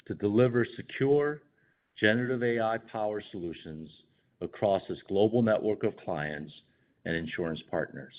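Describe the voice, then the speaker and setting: A man with a low voice delivers prepared earnings call remarks as a company executive. His delivery is formal and measured. The audio is dull and band-limited, like a conference call.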